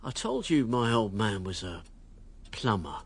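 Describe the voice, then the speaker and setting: A man's voice: fairly low pitch, very animated, a little slow, husky, full character voice. An actor playing a blunt, resentful working-class male politician in a radio drama. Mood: bitter, rankled. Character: John Gabriel, brash working-class politician, bitter about class.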